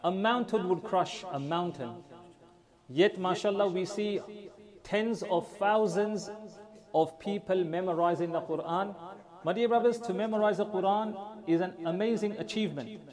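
A man's voice: sits high, moderate pace. A man leading prayers, giving an earnest address to his congregation and repeating phrases for emphasis; his first language is not Urdu. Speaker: imam. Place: large mosque hall with congregation. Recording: crowd audible behind.

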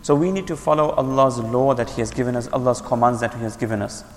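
A man's voice: medium pitch, fast, long reverb tail. A man giving a religious lecture, explaining step by step, asking and answering his own questions and repeating key phrases. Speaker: Islamic teacher.

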